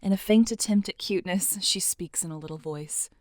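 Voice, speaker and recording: Low-voiced woman narrator, very dry recording. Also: a little fast, dry recording. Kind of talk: scripted dramatic story narration.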